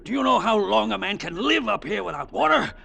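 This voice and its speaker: Commanding crime boss. Gravelly, calculating, scornful; vintage radio suspense with faint hiss. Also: male voice, sits high, animated, a little fast, sharp tone, heavily acted. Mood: angry, scornful. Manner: authoritative, cutting, gives orders. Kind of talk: old-time radio suspense acting.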